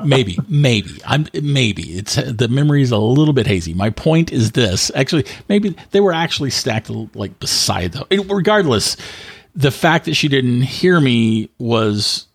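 Gravelly, husky man chatting casually. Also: medium pitch, very animated, no room sound. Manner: rambling, halting, self-correcting. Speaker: tech podcast co-host. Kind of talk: casual tech podcast chat.

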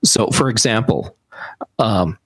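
A man chatting casually as a tech podcast co-host, explaining things deliberately with pauses. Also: fairly low pitch, expressive, breathy edge, no room sound, poor audio.

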